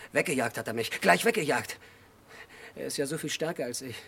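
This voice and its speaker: An actor playing a deranged, childlike, lovesick murderer in a German radio crime drama, confessing in halting, simple sentences. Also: male voice, mid-range voice, lively intonation, creaky, fairly bright tone, breathy edge, full character voice. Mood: bitter, giggly. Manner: halting, brooding monologue in short simple sentences.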